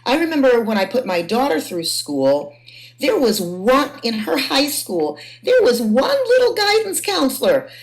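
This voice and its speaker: A woman's voice: on the high side, big pitch swings, unhurried, poor audio. A middle-aged woman moderating an informal panel discussion, putting questions to guests and audience.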